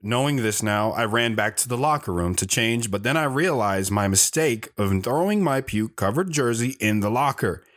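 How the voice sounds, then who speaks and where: A man's voice: medium pitch, lively intonation, moderate pace, few pauses, a bit bright. A man narrating his own past as a scripted drama, telling it plainly while looking back.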